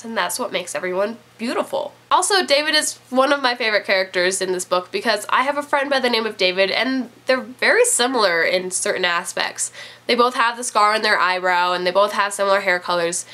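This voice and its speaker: A woman's voice: a little high, pushed and bright. A woman in her twenties with a stuffy, nasal voice from a cold, chatting casually as a book reviewer. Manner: chatty, rambling with fillers.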